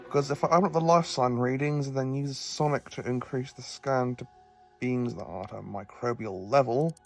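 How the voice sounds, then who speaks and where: An actor playing a quirky, knowing professor who travels in time, explaining things wryly and haltingly in an audio drama. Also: male voice, mid-range voice, gravelly, darkish tone, faint music behind, natural voice. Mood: grave explaining.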